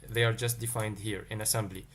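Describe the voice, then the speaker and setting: A man's voice: on the low side, level tone, brisk, fairly bright tone, a little hiss or hum. A man from Tunisia, teaching a live-streamed coding lesson. He thinks aloud and answers chat questions as they come in. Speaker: livestream tech teacher.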